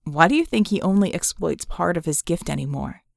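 A woman voicing a cynical, haunted male metahuman ex-thief in an audiobook, telling his past in a wry, measured way. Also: lowish voice, lively intonation, vocal fry, no room sound, clean studio sound, heavily acted. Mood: wry, reminiscing.

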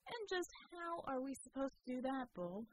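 A woman's voice: sits high, sing-song, normal speed, dry recording. A woman voicing a sarcastic male rogue from a superhero audiobook, grumbling and scoffing. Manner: sardonic, grumbling.

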